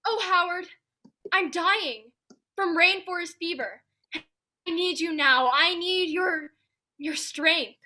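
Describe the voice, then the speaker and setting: Soap parody rival wife played by a woman: extremely high, cutting voice, haughty, melodramatic, wronged. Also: takes it slowly, pauses often, sharp tone, exaggerated voice. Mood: pleading. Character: scorned soap-opera wife, imperious, theatrical, melodramatic. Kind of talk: radio play soap opera parody.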